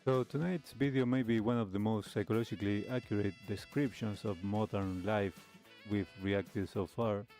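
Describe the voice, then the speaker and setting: Man, talk show host, lowish pitch, soft mellow tone, measured explanatory commentary, faint music behind. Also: fairly even intonation. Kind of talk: reaction commentary.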